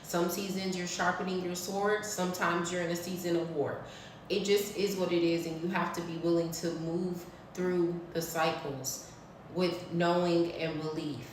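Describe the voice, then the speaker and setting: A woman's voice: fairly low pitch, steady tone, normal speed, distant mic, a little hiss or hum. A woman in her thirties giving a blunt, preachy spiritual talk to her viewers, swearing freely. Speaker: spiritual vlogger. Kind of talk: casual spiritual talk to camera. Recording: echoey room, voice off-mic.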